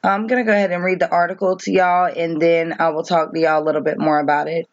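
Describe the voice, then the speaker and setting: A woman with a voice worn down by allergies talks to her viewers in a halting way. She pauses often with ums, says "okay?" a lot and reads a news article aloud. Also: on the low side, level tone, dry recording. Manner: halting, many ums and restarts, tags with "okay?". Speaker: vlogger. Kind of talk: casual talk to camera, reading a news article aloud. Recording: dull, dry home recording.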